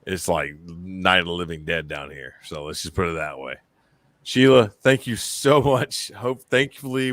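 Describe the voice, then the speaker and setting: A man's voice: medium pitch, fairly bright tone, no room sound. A man from Alabama hosting a casual live-stream podcast, rambling and joking with chat. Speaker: podcast host.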